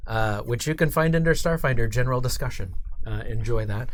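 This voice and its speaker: A woman with a very deep voice co-hosts a relaxed, casual live-stream chat. She is chatty, putting in short reactions and questions. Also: fairly quick. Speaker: co-host.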